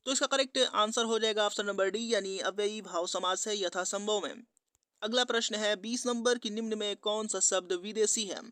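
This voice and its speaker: A man's voice: high-pitched, sharp tone, clear, resonant tone, no room sound, clean studio sound. A man teaching an exam-prep lesson, methodically reading out quiz questions and giving the answers in a repetitive instructional pattern.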